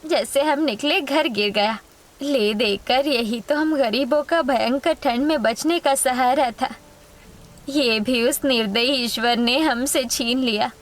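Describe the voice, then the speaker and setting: Woman acting a slow, careworn poor mother. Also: sits high. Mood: sorrowful. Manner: plaintive, earnest. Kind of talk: Hindi moral-story cartoon dubbing.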